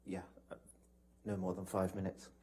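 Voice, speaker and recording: A man's voice: low-pitched, monotone, moderate pace, warm tone, slight background noise. A man testifying as a witness in court, recounting from memory in polite, hesitant, broken phrases.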